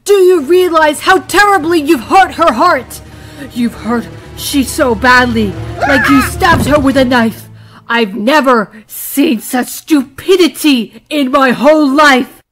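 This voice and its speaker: A woman voicing a wise, scolding female zebra who speaks in dramatic, deliberate rhyme in an audio drama. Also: high voice, fairly bright tone, faint music behind, low-quality recording. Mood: indignant. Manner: deliberate, rhyming, dramatic delivery. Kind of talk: fan audio drama acting.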